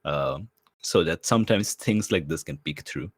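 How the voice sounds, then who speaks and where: Male player, deep voice, casual improvised roleplay narration, very dry close sound. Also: animated, normal speed, husky, dry recording. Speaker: tabletop game player.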